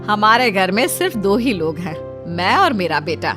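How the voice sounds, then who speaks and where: Cartoon mother-in-law, played by a woman: gentle, motherly, easily taken aback, lively intonation, music underneath. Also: medium pitch, normal speed, natural voice. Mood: warm. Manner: coaxing, motherly. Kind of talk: cartoon family drama acting.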